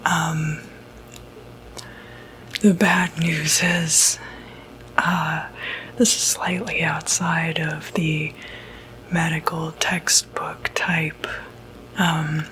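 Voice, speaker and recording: A woman whispering breathily in an ASMR medical roleplay, playing a reassuring doctor with a hesitant, gentle bedside manner. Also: sits low, level tone, very slow, long pauses, poor audio. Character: calm, reassuring doctor who treats demonic possession.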